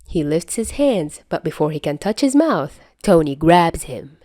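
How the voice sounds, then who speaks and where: A woman's voice: sits low, very animated, measured pace, warm tone, no room sound, studio quality. A woman reading scripted fiction aloud as the narrator of an audio story. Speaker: podfic narrator.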